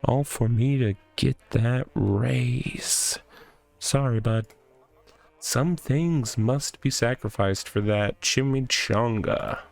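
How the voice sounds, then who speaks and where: A man voicing a smug, boastful tough guy from a visual novel, with pompous, drawn-out, theatrical delivery. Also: mid-range voice, vocal fry. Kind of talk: visual novel character read aloud. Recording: music behind the voice.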